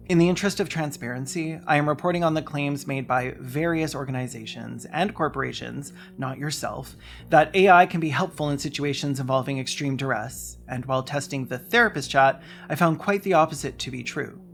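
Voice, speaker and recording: A narrator reading a scripted video essay in a measured way, including emails read aloud. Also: mid-range voice, creaky, a little hiss or hum.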